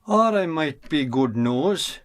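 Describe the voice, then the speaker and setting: A man's voice: on the high side, animated, slow pace, fairly bright tone. A man playing a mild, forgiving brother in an amateur drama. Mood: mild reproach. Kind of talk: amateur stage drama acting.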